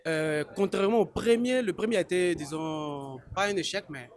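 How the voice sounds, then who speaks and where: Man, from Côte d'Ivoire, slightly raised pitch, hesitant, restarting often, casual chat. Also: moderate pace. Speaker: exporter, interview guest. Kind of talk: casual spoken interview. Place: busy trade fair hall.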